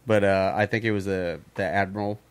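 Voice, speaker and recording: Low-voiced rambling man chatting. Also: fairly even intonation, a little fast, clean tone, dry recording. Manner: rambling, many fillers and swearing. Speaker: podcast co-host. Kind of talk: casual chat.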